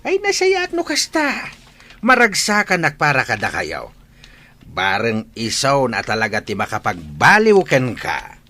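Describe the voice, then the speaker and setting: Older father, wildly swooping pitch, forceful bright voice, excitable, Ilocano Filipino accent, radio drama. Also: a little slow, exaggerated voice. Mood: pleased surprise.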